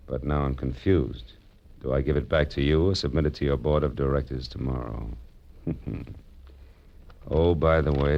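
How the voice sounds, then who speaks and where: An actor playing a wry, world-weary private detective in a 1940s radio drama, dry, hard-boiled and wisecracking. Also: male voice, a bass voice, darkish tone. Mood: dry, probing. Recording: dull vintage radio recording with music.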